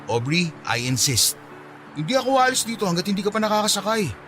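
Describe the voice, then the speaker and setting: An actor playing an eager, caring, shyly smitten young office worker in a Filipino radio drama, with a Filipino accent. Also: male voice, sits high, very animated, fairly quick, over music. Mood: insistent. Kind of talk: radio drama acting in Taglish.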